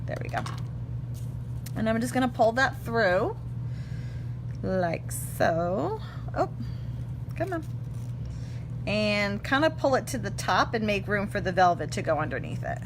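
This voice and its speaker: A woman in her thirties hosting a casual stamping craft demo, slightly hoarse, chatty and self-correcting, talking while she works at a table in her craft room. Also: medium pitch, very animated, measured pace, long pauses. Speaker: craft tutorial host. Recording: clear background hum.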